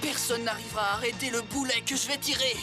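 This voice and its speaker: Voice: medium pitch, steady tone, quick pace, over music, natural voice. A performer in a French cartoon dub playing a determined, earnest teenage boy soccer captain with a declamatory delivery.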